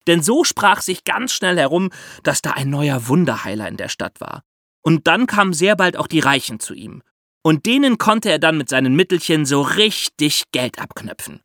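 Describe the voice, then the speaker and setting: A man hosting a podcast, explaining step by step in lively storytelling for children with theatrical emphasis. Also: on the high side, normal speed, no echo, clean studio sound.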